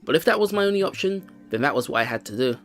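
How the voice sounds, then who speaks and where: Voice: medium pitch, big pitch swings, very fast. A let's play host reading a visual novel's first-person narration aloud, with game music behind.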